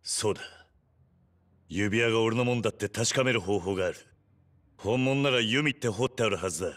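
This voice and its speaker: A tough male yakuza played by a voice actor with a lowish voice. He talks in rough, blunt orders, hot-tempered yet honest, like the hero of a crime game. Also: brisk, fairly bright tone, natural voice. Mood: urgent. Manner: blunt, rough, commanding street speech. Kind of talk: video game cutscene acting.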